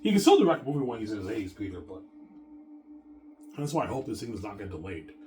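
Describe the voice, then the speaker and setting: A man in his thirties with a lowish voice talks straight to his audience, circling back over the same points and tripping over his own restarts. He sounds sure of himself throughout. Music plays clearly underneath him. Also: big pitch swings, quick pace. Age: 30s. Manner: repetitive, self-assured, restarts often. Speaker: vlogger. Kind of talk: rambling monologue to viewers.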